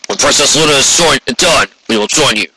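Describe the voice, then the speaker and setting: A man's voice: mid-range voice, lively intonation, brisk, close to an everyday voice. A man voicing a stern, curt old male pony guard commander in a storybook reading, terse and authoritative, on a noisy recording. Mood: commanding. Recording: clearly noisy amateur recording with clicks.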